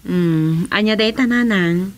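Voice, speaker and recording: An actress with an Ilocano Filipino accent playing a nosy, exclaiming young village wife in a radio comedy drama. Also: female voice, mid-range voice, takes it slowly, lightly acted. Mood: teasing, curious. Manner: exclamatory, reactive.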